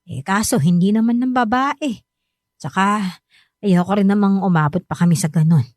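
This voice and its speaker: An actress playing a gentle, sorrowful middle-aged mother who pleads softly and hesitantly with her grown son in a radio drama. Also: mid-range voice, normal speed, dry recording, lightly acted. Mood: apologetic. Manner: soft, pleading, hesitant confessions.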